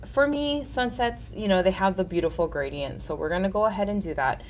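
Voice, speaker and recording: A woman's voice: medium pitch, expressive, brisk, slight background noise. A woman in her thirties hosting a casual live nail art tutorial, chatty and upbeat, sounding nasal from a cold.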